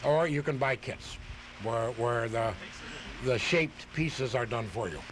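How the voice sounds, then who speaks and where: A man's voice: medium pitch, takes it slowly. Matter-of-fact man on a noisy radio tape. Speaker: radio guest, model aircraft hobbyist. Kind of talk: casual explanatory interview answers.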